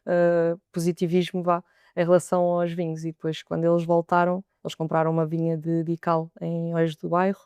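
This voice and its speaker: Soft-spoken Portuguese sommelier woman. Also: fairly low pitch, monotone, fairly quick, clear, resonant tone, dry recording. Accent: European Portuguese. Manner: thoughtful, repeats words as she gathers her thoughts. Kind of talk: podcast interview answers.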